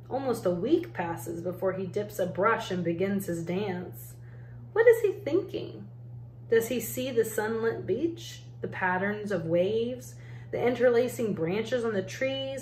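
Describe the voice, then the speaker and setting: A woman reading a children's picture book aloud as an educator, in measured, deliberate phrases with pauses. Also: mid-range voice, darkish tone. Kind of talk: storybook read-aloud narration. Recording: roomy echo, faint background noise.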